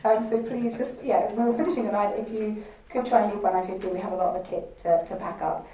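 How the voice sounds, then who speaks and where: A woman with a croaky, worn voice gives a chatty, informal welcome, stopping often with ums. She is heard from some distance in a large, echoey museum hall. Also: mid-range voice, fairly even intonation, fast, mellow. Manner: chatty, laughing, many ums. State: croaky voice from recent illness. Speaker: lab director hosting a launch event. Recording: echoey hall, speaker far from mic.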